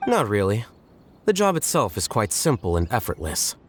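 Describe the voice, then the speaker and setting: Low, calm, aloof scholar. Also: male voice, moderate pace, a little hiss or hum. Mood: detached. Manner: deadpan, matter-of-fact. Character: calm, aloof, intellectual young male scholar. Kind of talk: video game voice acting.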